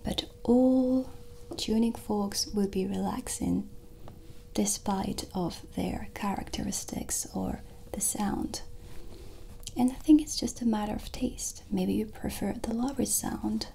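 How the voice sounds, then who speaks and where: Slow, breathy whispering woman, ASMR style. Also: medium pitch. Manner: soft, slow, soothing, intimate. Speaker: ASMR host playing a witch shopkeeper. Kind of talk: ASMR roleplay, whispered instrument showcase. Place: small dim indoor set.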